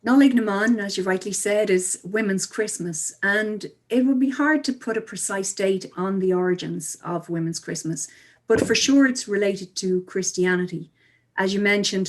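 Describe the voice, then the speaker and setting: A woman giving measured, articulate, explanatory answers as an interview guest. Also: medium pitch. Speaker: interview guest, cultural speaker.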